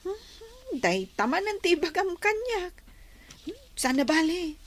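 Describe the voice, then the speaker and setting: An actress playing a chatty, defensive young village vendor in an Ilocano radio drama, with an Ilocano accent. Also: female voice, very high voice, very animated, unhurried, heavily acted. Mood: defensive banter. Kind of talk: Filipino radio drama acting.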